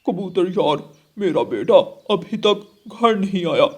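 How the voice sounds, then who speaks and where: Cartoon crow dad male performer: extremely high pitch, doting, plaintive, emotional. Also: animated, slow, husky, exaggerated voice. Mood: worried. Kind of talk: children's animal cartoon voice acting.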